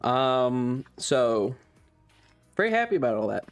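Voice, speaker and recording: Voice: mid-range voice, very animated, a little slow, soft background music. A streamer chatting casually and informally to a live audience, rambling and thinking aloud. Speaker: streamer and ASMR video creator hosting a livestream. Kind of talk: casual livestream chat.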